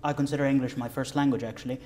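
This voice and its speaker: A man's voice: mid-range voice, steady tone, very fast, a little mellow. Young man with an Arabic mother tongue, speaking articulately. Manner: articulate, many "uh" and "you know" fillers. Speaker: interviewer. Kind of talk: conversational interview.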